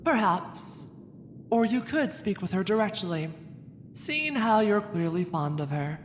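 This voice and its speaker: A woman's voice: medium pitch, very animated, measured pace. A woman playing a loyal, candid retainer in an audio drama, formal and dryly teasing. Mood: composed, teasing. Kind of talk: fan audio drama acting. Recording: reverberant hall, faint noise.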